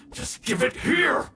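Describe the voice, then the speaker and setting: A man playing a smug, excitable bandit boss in a video game, gloating theatrically. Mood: panicked, indignant. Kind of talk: animated video game voice acting. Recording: roomy echo, distant, under game music.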